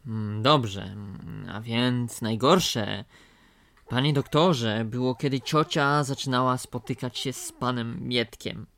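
A woman's voice: a bass voice, takes it slowly, a bit bright, no echo, full character voice. A woman playing a flustered, indignant thirty-year-old bachelor nephew with a stammering, whiny manner in a radio comedy. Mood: aggrieved. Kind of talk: radio comedy drama acting.

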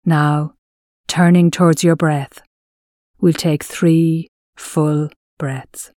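A woman gently narrating a guided mindfulness meditation, soothing and measured, her soft voice trailing into breathiness with long pauses between phrases. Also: deep voice, flat delivery, no echo, studio quality.

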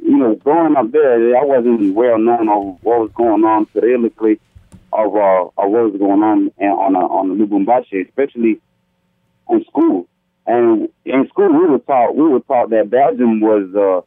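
Halting young man on a tinny phone. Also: mid-range voice, dry recording. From Democratic Republic of the Congo. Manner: hesitant, halting, repeats words. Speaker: caller. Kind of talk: conversational call-in question. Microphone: telephone call. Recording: tinny, dry phone line.

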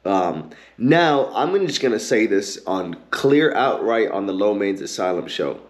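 A man hosting his own podcast, ranting heatedly and cursing a lot, with an occasional stutter and pauses filled with um and like. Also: lowish voice, animated. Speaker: podcast host. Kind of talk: ranting commentary.

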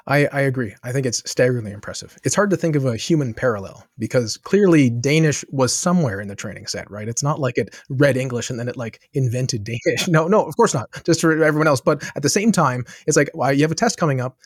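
Fast-talking husky-voiced man. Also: medium pitch, expressive, no room sound, studio quality. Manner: thoughtful, rambling with many asides and restarts. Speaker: podcast guest. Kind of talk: conversational interview answers.

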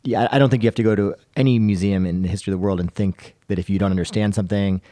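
A man's voice: lowish voice, fairly even intonation, very fast, warm tone, no room sound. A man hosting a casual panel discussion, talking in a conversational, explanatory way. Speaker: podcast host.

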